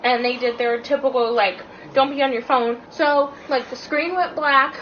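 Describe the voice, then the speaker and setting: A woman co-reviewing a movie in casual chat, animated and informal, peppering her talk with "like". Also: high-pitched, moderate pace, over a noisy crowd. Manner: animated, informal, frequent "like", swearing. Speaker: guest co-reviewer. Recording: muffled lo-fi, noticeable background noise.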